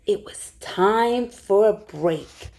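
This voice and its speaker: A woman storytime teacher reading a rhyming picture book aloud to young children in an expressive way. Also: mid-range voice, very slow, poor audio. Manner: expressive, hushed suspense, asks listeners questions.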